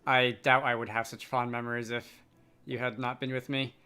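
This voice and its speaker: A man voicing a polite, thoughtful young princess from a game, with formal, gentle phrasing. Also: medium pitch, level tone, moderate pace, clear, resonant tone, voice pushed down, natural voice. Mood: polite, fond.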